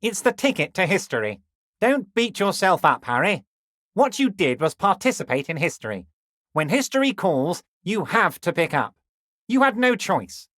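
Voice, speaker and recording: An actor playing a scheming, jovial middle-aged union boss in a video game, folksy, flattering and sly. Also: male voice, high-pitched, moderate pace, no room sound, studio quality.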